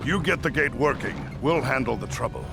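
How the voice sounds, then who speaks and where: A man playing a gruff, commanding dwarf warrior leader in a video game cutscene. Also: mid-range voice, animated, low-quality recording. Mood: urgent, commanding. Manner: brisk, commanding. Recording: loud music behind voice.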